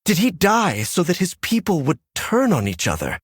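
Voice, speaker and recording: An impassioned noble elf, speaks in a breathy mid-range voice that rises and falls widely. He is formal and heartfelt, pleading his cause in dramatic game style. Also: high-pitched, huge pitch swings, moderate pace, no echo, studio quality, heavily acted. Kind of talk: dramatic fantasy game acting.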